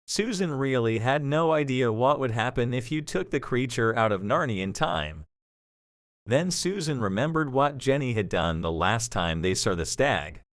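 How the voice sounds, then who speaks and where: Expressive male story narrator. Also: mid-range voice, moderate pace, dry recording.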